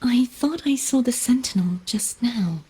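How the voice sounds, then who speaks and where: A gentle, tired young noblewoman swordfighter in a game. Her voice is very breathy, mid-pitched and slow, and she speaks softly and wistfully. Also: animated, exaggerated voice.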